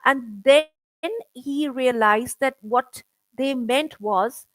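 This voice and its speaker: A middle-aged woman interviewing over a video call, chatty and halting, filling her talk with "you know" and restarts. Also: high-pitched, no room sound. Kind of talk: casual conversational interview.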